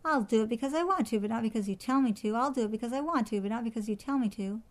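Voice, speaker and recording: A woman voicing a rude, bossy female pet parrot in a children's story, squawking and repeating herself in a shrill, sassy way. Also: rapid-fire, close to an everyday voice. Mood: defiant. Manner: loud, repetitive, sassy yelling.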